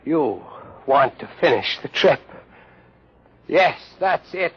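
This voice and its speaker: A man's voice: fairly high pitch, animated, takes it slowly, slightly rough, heavily acted. An actor playing an earnest, intense young male heretic in 1950s radio drama style. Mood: awed, wondering. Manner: intense, pleading. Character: earnest, urgent young man, a convicted heretic. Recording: dull lo-fi old radio recording.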